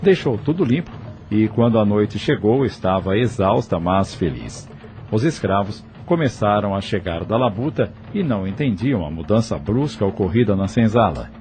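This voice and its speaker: A man's voice: lowish voice, lively intonation, normal speed, darkish tone. A man with a Brazilian accent narrating a scripted audio novel. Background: music.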